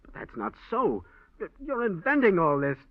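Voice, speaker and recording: A man's voice: high-pitched, wildly swooping pitch, moderate pace, no echo, exaggerated voice. An actor playing an evasive, nervous American businessman with a defensive manner in a 1940s radio drama. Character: evasive, nervous male businessman hiding guilt. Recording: muffled vintage radio recording.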